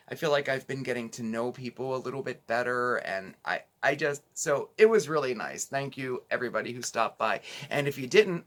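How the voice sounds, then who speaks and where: A middle-aged man hosts his cooking channel in an easygoing, rambling way, full of fillers and restarts. His tone is bright and forward, with a touch of hoarseness from a fading voice. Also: mid-range voice. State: losing his voice, a bit hoarse. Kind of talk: casual chat to viewers.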